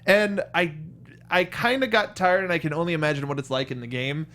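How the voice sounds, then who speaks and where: A man's voice: on the high side, animated, a little fast, fairly bright tone. A man co-hosting a casual film review chat, acting out reactions in quoted asides. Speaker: co-host of a film review show.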